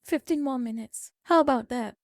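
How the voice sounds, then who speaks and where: A woman's voice: high voice, moderate pace, no echo, studio quality. A woman streaming live, chatting casually with viewers, reading their comments and lecturing them. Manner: chatty, lecturing, reads chat aloud. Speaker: VTuber streamer.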